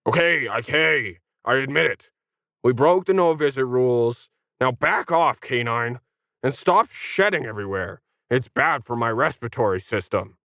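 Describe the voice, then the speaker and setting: A man's voice: medium pitch, expressive, moderate pace, creaky, pushed and bright, no echo, studio quality. A man voicing a smug, whiny tentacled alien who sneers condescendingly at humans in an audio science fiction story. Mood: grudging. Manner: condescending, sarcastic.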